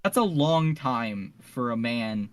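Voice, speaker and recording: A man's voice: mid-range voice, animated, slow pace. A man speaking as a podcast panelist in a casual fan discussion, earnest and emphatic, repeating phrases for stress.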